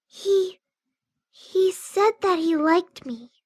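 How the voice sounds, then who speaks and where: A woman voicing a timid, gentle female pegasus pony with a hesitant, mumbling manner, in a scripted story reading. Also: extremely high pitch, lively intonation, extremely slow, exaggerated voice. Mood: bashful.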